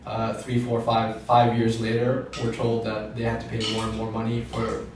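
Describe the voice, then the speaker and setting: A man in his late thirties talks things through at an even pace on a podcast, keeping his pitch fairly flat. He sits back from the microphone in a very echoey room, with a faint hiss behind him. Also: normal speed, distant mic. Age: 30s. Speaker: gym co-founder. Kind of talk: podcast conversation. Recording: roomy echo, off-mic, faint noise.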